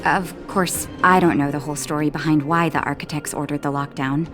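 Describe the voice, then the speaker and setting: Actress as caring underground clinic doctor, woman, lowish voice, gentle, composed, reassuring, game dialogue, faint music. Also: fairly even intonation, brisk, natural voice. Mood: reassuring, calm. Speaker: video game character.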